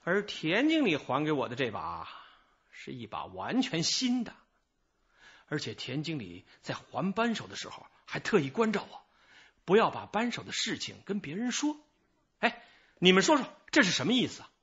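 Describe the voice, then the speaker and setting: An actor playing a chatty, evasive middle-aged informant in a radio drama, rambling, sighing and excusing himself. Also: male voice, a little high, huge pitch swings, normal speed, a bit bright, husky, dull audio, heavily acted. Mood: animated, confiding. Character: garrulous, evasive male small-time informant, self-excusing.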